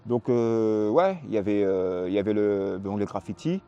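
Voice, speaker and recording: A man's voice: fairly low pitch, normal speed, warm tone, smooth, highs cut off. A man in his forties from Paris, born in Réunion, chatting casually in an interview with a hesitant, repetitive, slangy delivery. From Paris, France, born in Réunion. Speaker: interviewee, hip-hop graffiti artist.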